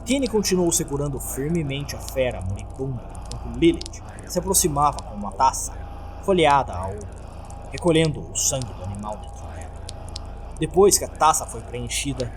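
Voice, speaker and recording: A man with a Brazilian accent reads a scripted horror story as a dramatic narrator. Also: medium pitch. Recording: faint background noise.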